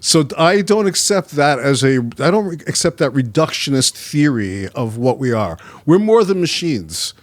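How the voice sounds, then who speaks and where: A man with a somewhat high, very animated voice, chatting as a podcast guest. He is easygoing, laughs often and drifts into thoughtful musings. The sound is dry and close, with no room around it. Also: normal speed, hardly any pauses, no echo. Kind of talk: casual chat.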